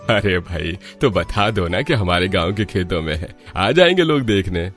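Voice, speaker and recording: A man's voice: low voice, lively intonation, moderate pace, a bit bright, soft background music. A man playing a modest, good-natured older village father-in-law in a cartoon, speaking in a homely way. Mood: modest, approving. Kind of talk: Hindi cartoon character dialogue.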